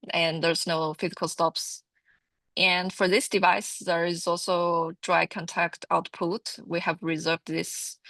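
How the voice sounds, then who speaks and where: A woman giving an informal product training presentation, explaining haltingly and often repeating her words. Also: fairly low pitch, a bit bright, no room sound.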